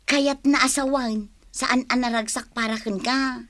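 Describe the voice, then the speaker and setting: A woman's voice: high voice, steady tone, unhurried, fairly bright tone, no room sound, clean studio sound. A woman playing a chatty, appeasing friend who loves gossip, with a Filipino accent, in a radio comedy drama. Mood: gossipy. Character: placating, gossipy female friend, eager to please.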